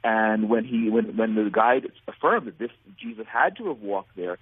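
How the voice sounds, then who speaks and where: A man's voice: lowish voice, sing-song, moderate pace, slightly rough. An American man being interviewed as a guest, talking earnestly and at length, often restarting his sentences. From United States. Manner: earnest, rambling with many restarts. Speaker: guest, nonprofit founder. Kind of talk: phone interview. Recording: phone line.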